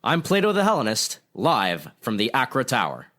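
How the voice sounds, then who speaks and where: Man playing a lively, formal radio reporter. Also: mid-range voice, normal speed. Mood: earnest, probing. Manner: formal, pointed. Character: earnest, probing male radio news reporter. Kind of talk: mock news interview, old-time radio acting.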